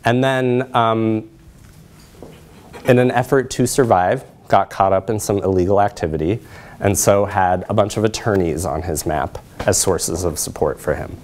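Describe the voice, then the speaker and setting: Man, middle-aged, lowish voice, measured lecturer, explanatory, pausing often. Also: steady tone, a little mellow, a little hiss or hum. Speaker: presenter, social work researcher. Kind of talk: academic lecture with slides. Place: lecture room, indoors.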